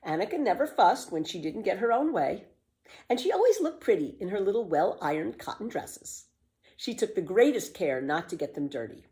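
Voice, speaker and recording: A woman reading a children's book aloud as a storyteller, with expressive, emphatic scripted narration. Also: medium pitch, moderate pace.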